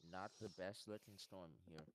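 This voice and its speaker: Laid-back young man, low voice. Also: steady tone, moderate pace, a little hiss or hum. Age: young adult. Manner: laid-back, terse, says "okay" a lot. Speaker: co-host. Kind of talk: casual chat.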